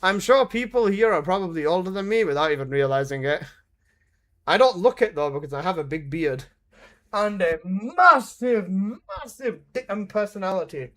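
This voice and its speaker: A man in his early twenties chats live with his stream audience while he plays. His talk is casual, teasing and full of swearing and quick laughs. His voice sits slightly on the high side for a man. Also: lively intonation, bright, forceful tone. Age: 20s. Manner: joking, swearing banter with frequent laughs. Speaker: game streamer.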